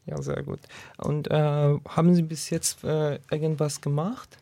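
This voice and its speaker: A man interviewing a guest on the radio, speaking haltingly with many fillers. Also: a little high, little pitch movement, vocal fry, dark tone.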